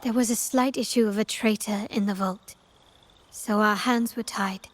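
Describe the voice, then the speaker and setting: An actress playing a proud, poised young heiress in a video game, refined, formal and self-assured. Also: female voice, mid-range voice, steady tone, moderate pace, lightly acted. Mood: measured, assured. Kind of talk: dramatic video game voice acting.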